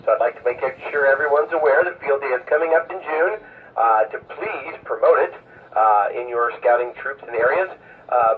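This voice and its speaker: A man's voice comes through a narrow, phone-like radio channel, sounding away from the mic. He hosts an amateur radio net informally, with hesitant, halting phrasing. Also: mid-range voice, recorded from a distance. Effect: amateur radio transmission. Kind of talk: informal radio net check-ins and announcements.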